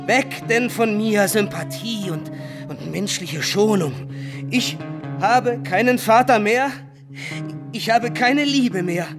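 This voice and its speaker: A man's voice: high-pitched, slow. An actor playing a betrayed, embittered young nobleman turned robber captain in a German radio play, impassioned and declamatory. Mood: wild resolve. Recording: dramatic music under the voice. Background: music.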